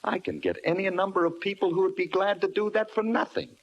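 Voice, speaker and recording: An actor playing an excitable, brash bandleader husband with slangy, ungrammatical speech, in old-time radio comedy style. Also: male voice, high-pitched, fast talker, muffled recording. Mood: indignant. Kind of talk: 1940s-50s radio comedy acting.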